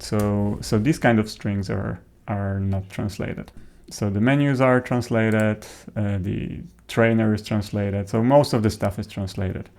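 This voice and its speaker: A man, a native Polish speaker with a Polish accent, presents an informal software tutorial in a halting, hesitant way. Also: on the low side. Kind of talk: informal software demo narration.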